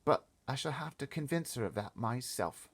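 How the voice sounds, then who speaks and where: A man's voice: medium pitch, animated, moderate pace, breathy edge, pitched above the performer's own voice. A man voicing a bossy, eager little girl in a storybook read-aloud, explaining things emphatically and confidently.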